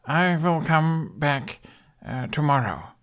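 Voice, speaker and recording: A polite young foreigner, acted out by a man in an audiobook. He speaks slowly and haltingly in simple broken phrases, feeling for each word, with his voice lifted higher. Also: expressive, a bit bright. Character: young male foreign traveler, hesitant, polite, halting speech. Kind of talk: audiobook character voice.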